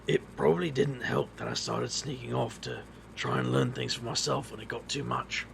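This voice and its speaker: A weary, brooding man played by a voice actor speaks in a very gravelly, creaky voice. His delivery is wry and bitter, as in a noir-like audio drama, with faint noise behind him. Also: medium pitch, very animated, normal speed, fairly bright tone, exaggerated voice. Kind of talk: audio drama narration and dialogue.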